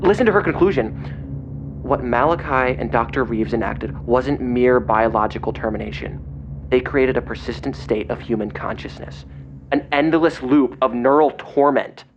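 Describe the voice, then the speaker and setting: A man playing an agitated investigator in an audio drama, speaking in a grave, halting, intense way over a phone. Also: mid-range voice, lightly acted. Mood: grave reading. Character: agitated male investigator relaying grim secret documents by phone. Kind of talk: audio drama acting, partly reading documents aloud. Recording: dull lo-fi phone call, clear noise.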